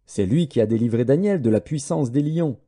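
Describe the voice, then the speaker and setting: Male narrator as solemn king, stately proclamation, dark mellow tone, lively rising pitch, scripture audiobook. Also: brisk, natural voice. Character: solemn king issuing a grand royal decree.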